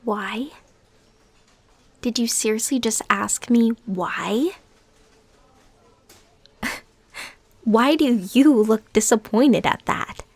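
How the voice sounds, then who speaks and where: A woman playing a lovesick, defensive and unstable former stalker in an intimate audio drama, speaking in a halting, emotionally intense way. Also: a little high, no echo, full character voice. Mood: jarred, guarded. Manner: halting, pleading, emotionally intense, drops into whispers.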